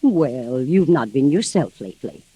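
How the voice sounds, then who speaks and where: Casting: attentive servant-companion, mellow husky voice, deferential, old radio mystery. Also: female voice, a bass voice, big pitch swings, measured pace, no room sound, highs cut off, exaggerated voice. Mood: concerned, discreet. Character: concerned female household attendant, attentive and deferential. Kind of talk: 1940s radio mystery acting. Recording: vintage 1940s radio recording with hiss.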